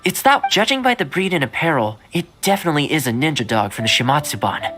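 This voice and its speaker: An actor playing a friendly, patient young man in a video game, chatting gently over game music. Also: a little high, very animated, fast talker. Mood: chatty, thoughtful. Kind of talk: game dialogue acting.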